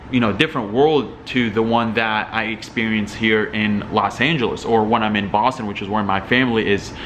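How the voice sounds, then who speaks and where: A man's voice: fairly low pitch, a bit bright, slight background noise. A young man from Algeria, an entrepreneur vlogger, talking casually and rambling with many fillers and restarts. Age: young adult. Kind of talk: casual talking-head commentary.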